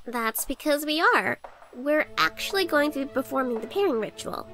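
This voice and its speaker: A woman's voice: sits high, moderate pace, a bit bright, voice pushed up. A woman voicing an eager, chirpy young female student mage.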